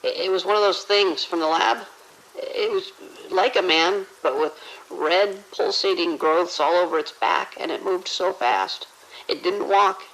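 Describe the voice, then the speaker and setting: A man playing a terrified, trapped hospital worker in an audio drama, stammering and halting with fear. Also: sits high, fairly bright tone, low-quality recording.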